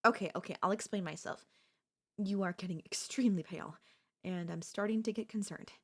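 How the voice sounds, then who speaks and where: A woman's voice: mid-range voice, a little fast, fairly bright tone, slightly rough. A woman playing an obsessive, doting yandere stalker in an ASMR audio roleplay, speaking in a coaxing, theatrical way. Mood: concerned, explaining. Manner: theatrical, coaxing, addresses listener as darling. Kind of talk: ASMR audio roleplay, girlfriend-style monologue.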